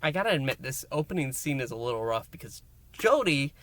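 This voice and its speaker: A man hosting a review and recap, speaking in an emphatic, theatrical way with dramatic pauses. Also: medium pitch, big pitch swings, fairly bright tone. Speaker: host, reviewer. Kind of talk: enthusiastic scripted-style recap and review to listeners.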